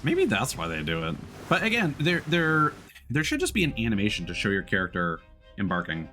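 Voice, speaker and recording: A man's voice: medium pitch, huge pitch swings, a little fast, bright, forceful tone. A man streaming a video game with casual, informal, slangy commentary on a close mic. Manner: chuckles through remarks, informal slang. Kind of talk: casual live gameplay commentary.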